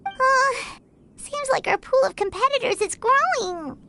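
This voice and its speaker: An actress voicing a tiny, chirpy, nosy fairy girl companion in a video game, squeaky and childlike. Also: lively intonation, a little slow, sharp tone, slight background noise, heavily acted. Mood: curious, teasing. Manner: chirpy, refers to self in third person. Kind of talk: scripted video game dialogue.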